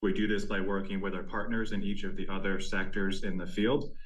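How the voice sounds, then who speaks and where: Low-pitched man giving corporate presentation. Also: level tone, brisk. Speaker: company executive presenting on an earnings call. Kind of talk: prepared corporate presentation, scripted narration.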